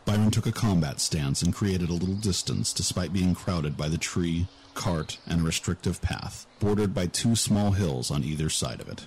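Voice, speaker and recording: A man's voice: low-pitched, animated, moderate pace, hardly any pauses, darkish tone, a little hiss or hum. A man narrating a scripted fantasy story as an audiobook narrator.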